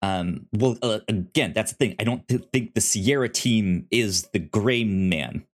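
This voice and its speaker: A man co-hosting a film review podcast, chatting casually with a halting, stammering delivery. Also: lowish voice. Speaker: podcast co-host reviewing films. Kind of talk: casual movie review chat.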